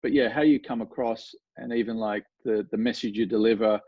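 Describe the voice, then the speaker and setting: A man's voice: fairly low pitch, fairly even intonation, a little fast, husky, dry recording, muffled recording. An Australian man, a golf coach, talking casually in an interview, rambling with lots of fillers and restarts.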